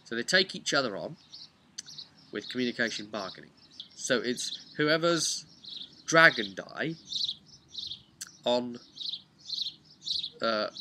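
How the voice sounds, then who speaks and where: A man speaking as a game master, casually recapping a tabletop role-playing session in a halting, conversational way with emphasis on key words. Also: medium pitch, background noise.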